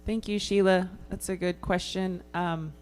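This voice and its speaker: A woman answering a panel question in a hesitant, quiet way, with many pauses and ums. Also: on the low side, fairly even intonation, slight background noise.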